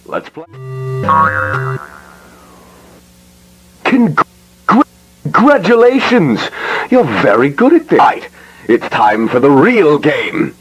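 Sinister party showman, husky, hugely animated pitch, gleeful barker manner, horror cartoon. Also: slow pace, heavily acted. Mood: showy, grand. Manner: theatrical, exaggerated barker delivery.

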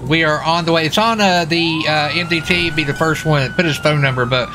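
A man role-playing a police officer in a casual, improvised game, talking in a folksy, excitable way and often repeating himself. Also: on the high side, brisk, over a noisy crowd. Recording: faint background noise, game sirens. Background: game sirens and vehicle sounds.

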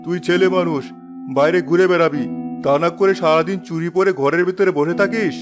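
Guy doing a bossy angry cartoon dad, pitched high, scolding and accusing, bit of music behind. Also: fairly even intonation, a little fast, lightly acted. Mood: angry, threatening. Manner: harsh, accusing, domineering. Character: tyrannical, scolding father of two children. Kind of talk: cartoon dub acting.

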